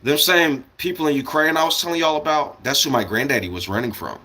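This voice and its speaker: A man's voice: a little high, fast talker, sharp tone, rough recording. An American man giving a casual, rambling livestream monologue in a blunt, opinionated way, with swearing and meandering asides. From United States. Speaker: live streamer.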